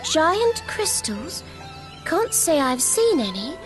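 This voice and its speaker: A curious, blunt young adventurer from a fantasy game. Her very high voice swings about in lively fashion, informal and frank, with a little music behind. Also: takes it slowly, full character voice. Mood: puzzled, bright.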